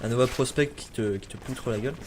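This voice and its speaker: A man casually chatting and joking while streaming a game, his talk breaking into sudden shouts. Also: medium pitch, fast talker. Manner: chatty, jokey, shouts in bursts. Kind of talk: casual gaming chat. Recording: noisy game audio with gunfire.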